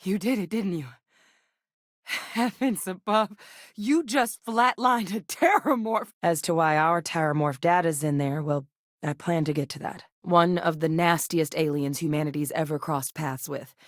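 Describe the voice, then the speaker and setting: Low-voiced, determined military scientist woman. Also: measured pace, no room sound, studio quality. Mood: urgent, intense. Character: seasoned, determined female military xenobiologist, guarded and wry. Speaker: video game voice actress. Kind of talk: scripted game dialogue.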